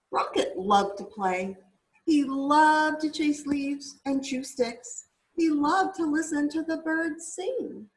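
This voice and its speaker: Slow, lively woman reading a story aloud. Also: high-pitched, creaky. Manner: expressive, addresses young listeners. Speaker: teacher reading a picture book to children. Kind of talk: read-aloud storytelling with casual asides. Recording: roomy, echoing indoor sound.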